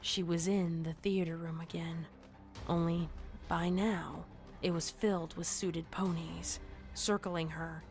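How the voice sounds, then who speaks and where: Low-voiced woman narrating a horror story. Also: level tone, takes it slowly, a little mellow. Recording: music under the narration. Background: music.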